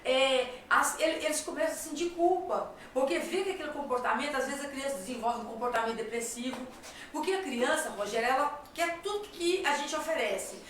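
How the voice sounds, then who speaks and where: A woman's voice: high-pitched, fairly bright tone, slight background noise. A Brazilian woman hosting a talk show, speaking emphatically and asking rhetorical questions. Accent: Brazilian Portuguese. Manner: emphatic, repeats phrases, asks rhetorical questions. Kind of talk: conversational advice talk. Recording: echoey room, voice from far off.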